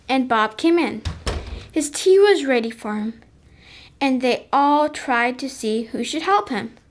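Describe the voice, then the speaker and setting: A third-grade child narrating a school radio play, reading slowly and carefully. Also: female voice, sits high.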